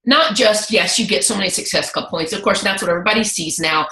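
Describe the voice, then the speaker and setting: A woman hosting a casual, candid conversation, mid-pitched and chatty. She keeps slipping into quick acted-out asides. Her voice carries the ring of a roomy, echoing space. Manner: candid, animated, talks through asides and quoted thoughts. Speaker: host. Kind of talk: casual chat.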